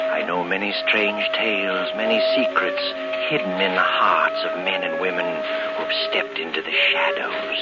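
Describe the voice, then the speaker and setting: A man playing a sinister, mocking, all-knowing narrator of dark tales in 1940s radio style, ominous and theatrical. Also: deep voice. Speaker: narrator and host of a mystery series. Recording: muffled 1940s radio broadcast under loud music.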